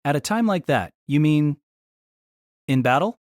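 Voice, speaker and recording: A woman's voice: sits low, animated, measured pace, a little mellow, dry recording, clean studio sound. A woman playing a timid, whiny young male mage in an audio drama, plaintive and hesitant. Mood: bitter disbelief.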